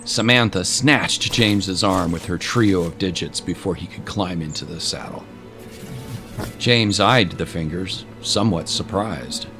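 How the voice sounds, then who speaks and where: A man's voice: low-pitched, unhurried, music in the background. A man reading scripted dramatic narration as the narrator of an adventure story.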